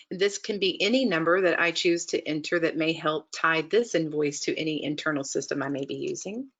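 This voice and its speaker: Lady with a lowish voice doing a step by step software demo, methodical and explaining as she goes. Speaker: software demonstrator. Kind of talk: instructional screen-share walkthrough narration.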